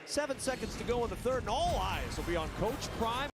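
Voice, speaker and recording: A man's voice: very high voice, sing-song, brisk, noisy background. A man calling American football play-by-play for a broadcast, with polished, dramatic delivery. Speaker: play-by-play sports announcer.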